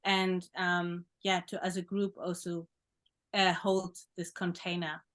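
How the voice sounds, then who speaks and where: Soft-spoken woman. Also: lowish voice, flat delivery, takes it slowly. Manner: reflective, gentle, with occasional soft laughs. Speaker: podcast host, sexological bodyworker. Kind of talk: conversational interview.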